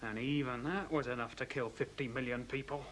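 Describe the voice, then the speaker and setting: A man's voice: medium pitch, fairly even intonation, fairly quick, natural voice. An actor playing an agitated, cynical young man in a radio play, stammering, slangy and argumentative. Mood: earnest, puzzled. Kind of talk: radio drama acting. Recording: hissy old radio play recording.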